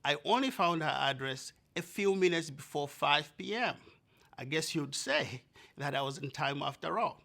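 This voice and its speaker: A man from Zambia telling a personal story to an audience, animated and conversational, often speaking to the listeners directly. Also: on the high side, normal speed, gravelly.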